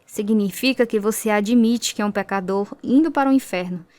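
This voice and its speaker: A woman's voice: mid-range voice, brisk, darkish tone, no room sound, lightly acted. An actress with a Brazilian accent playing a devout, earnest young convert telling her story in a radio drama. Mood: earnest, firm. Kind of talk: radio drama narration and dialogue.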